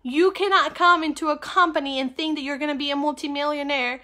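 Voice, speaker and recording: Bright, high-pitched Peruvian-accented woman. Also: fairly even intonation, fairly quick. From Peru. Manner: upbeat, emphatic, repeats key phrases. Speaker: network marketing coach and livestream host. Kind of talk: informal livestream talk to viewers.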